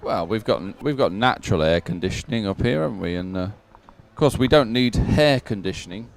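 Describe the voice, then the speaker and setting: A man working as a live sports commentator and presenter, announcing results and schedules in an unscripted, halting way. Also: lowish voice, lively intonation, creaky. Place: open-air commentary position.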